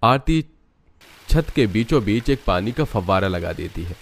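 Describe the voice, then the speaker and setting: Low-pitched man narrating a story. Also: expressive, normal speed. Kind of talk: scripted cartoon story narration.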